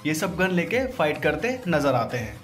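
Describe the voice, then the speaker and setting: A man's voice: a little high, big pitch swings, normal speed, fairly bright tone, faint music behind. A man hosting a voice-over breakdown in an informal, slangy way, as commentary for viewers. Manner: informal, crude slangy joking. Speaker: video host.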